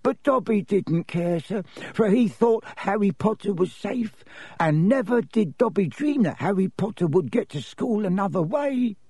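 A small house elf from a storybook, very high-pitched and husky. The creature is servile and fearful, forever pleading with deference and referring to itself in the third person. The sound is close and dry. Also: male voice, expressive, measured pace, mellow, no room sound, heavily acted. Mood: distressed, earnest. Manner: speaks of self in third person, obsequious.

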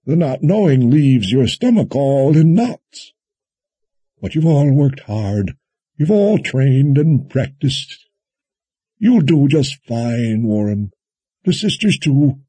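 A man voicing a wry, folksy, kindly old wizard in an audiobook, musing and teasing in a drawn-out way. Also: medium pitch, sing-song, warm tone, slightly rough, no room sound, voice pushed up, heavily acted. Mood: reassuring. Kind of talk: audiobook character dialogue.

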